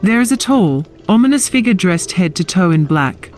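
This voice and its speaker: A woman's voice: fairly low pitch, big pitch swings, normal speed, darkish tone. A woman narrating a scripted fantasy audio drama in a descriptive storytelling style. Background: music.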